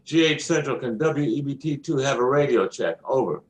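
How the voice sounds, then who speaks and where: A man's voice: medium pitch, lively intonation, fairly quick. A man making brief, formal procedural radio calls in clipped phrases as a trainee operator.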